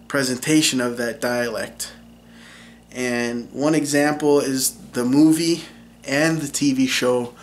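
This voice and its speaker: A young man with a central Minnesotan accent talks to camera, relaxed and explanatory, with lots of ums and you-knows. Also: medium pitch. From Minnesota, USA. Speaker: linguist and YouTube English teacher. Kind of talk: casual talk to camera.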